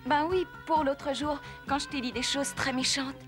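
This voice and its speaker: A woman's voice: high voice, fairly even intonation, music in the background, natural voice. A woman voicing a contrite young wolf in a French cartoon dub, speaking hesitantly. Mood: apologetic. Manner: hesitant, halting.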